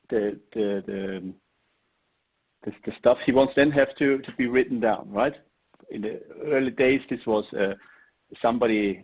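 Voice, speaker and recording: A middle-aged man from Austria with an Austrian German accent, giving an unscripted webinar talk, halting and searching for words with frequent restarts. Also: medium pitch, slightly rough. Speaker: webinar presenter. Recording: tinny phone-like line.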